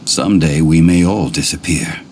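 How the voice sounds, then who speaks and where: An actor playing an ancient, dignified god in a video game, speaking in a formal, measured, contemplative way. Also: male voice, rumbling low, a little mellow, slight background noise. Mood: solemn, reflective. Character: ancient, solemn, dignified male god in mortal guise. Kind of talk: scripted video game voice acting.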